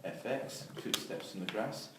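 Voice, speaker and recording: A man's voice: fairly low pitch, measured pace. A man reading out stage directions as clipped scripted narration for a drama. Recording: very echoey, distant mic, faint noise.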